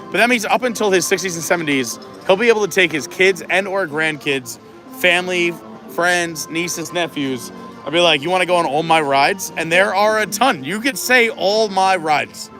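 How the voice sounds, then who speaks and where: A man's voice: sits high, normal speed. A man with a New York accent hosting a casual theme park livestream, chatty and laughing often. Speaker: livestream host. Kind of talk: casual chat on a live walking stream. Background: loud music.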